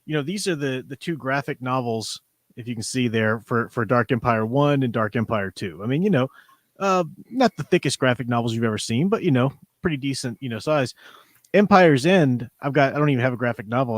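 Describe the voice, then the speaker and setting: A man's voice: mid-range voice, animated, a little fast, no room sound. A man hosting a casual live-stream book discussion, talking in an informal, rambling way. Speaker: host. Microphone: newly borrowed, older studio microphone.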